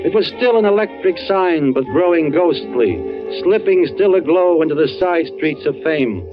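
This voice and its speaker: An actor with an American accent playing a grim, world-weary narrator of a radio murder story, in deliberate, hard-boiled phrasing. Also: male voice, fairly high pitch, lively intonation, close to an everyday voice. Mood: brooding. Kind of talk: 1940s-50s American radio suspense narration. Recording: muffled old radio recording, loud music behind.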